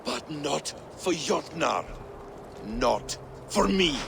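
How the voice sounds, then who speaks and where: An actor playing a bitter, wily trickster god in a video game, gravelly, theatrical and menacing. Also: male voice, fairly high pitch, big pitch swings, very slow, background noise, exaggerated voice. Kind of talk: dramatic video game cutscene acting.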